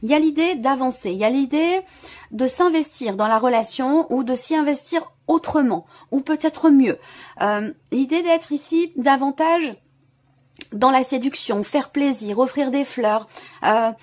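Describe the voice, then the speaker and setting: A woman with a clearly high-pitched voice talks the viewer through a tarot reading. She searches for the right word as she goes, often stopping to put the same idea another way. The delivery is unscripted and addressed directly to the listener. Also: moderate pace, darkish tone. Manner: hesitant, searching for words, often rephrasing. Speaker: tarot reader, channel host.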